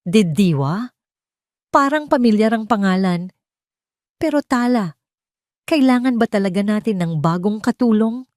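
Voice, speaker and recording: Woman acting nervous, evasive sister-in-law, raised pitch. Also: expressive, a little slow, pauses often, a little mellow, dry recording, clean studio sound, lightly acted. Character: nervous, guarded sister-in-law hiding a secret.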